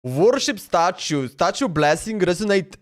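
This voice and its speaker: A man's voice: high-pitched, big pitch swings, measured pace. A man livestreamer chatting casually in a slangy, exclamatory way as he reacts, often repeating himself. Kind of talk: casual reaction stream chat. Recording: background music under voice. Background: music.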